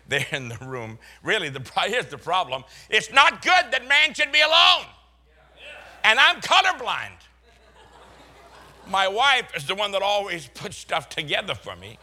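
A man's voice: a little high, pushed and bright. An older man from Boston with a Boston accent, a pastor preaching a sermon full of folksy, chuckling stories.

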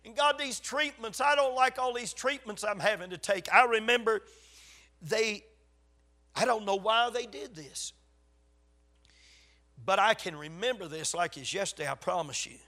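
An older male preacher with a Southern US accent delivers a sermon in a high, forceful voice. He is getting over a cold, which leaves a slight rasp. His storytelling is folksy and emphatic, with weighty pauses. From South Carolina, USA. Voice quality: slightly raspy. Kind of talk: sermon to a congregation.

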